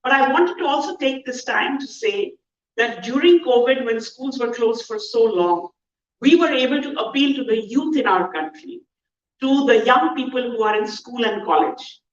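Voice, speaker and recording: Indian woman keynote speaker, fairly high voice, earnest and measured, distant dull hall sound. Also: lively intonation. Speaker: conference speaker. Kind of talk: conference keynote talk. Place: large conference hall.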